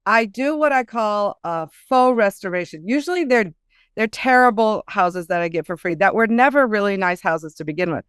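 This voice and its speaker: A woman guest author chatting casually in an interview, telling things in an animated way and acting out quotes. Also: mid-range voice, moderate pace, smooth, dry recording, studio quality.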